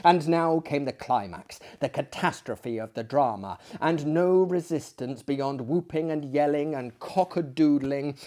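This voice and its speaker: A man's voice: medium pitch, warm tone. A man telling a classic story as a dramatic reader, with hammy, pompous delivery and heavy emphasis. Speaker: storyteller, host of a story reading series.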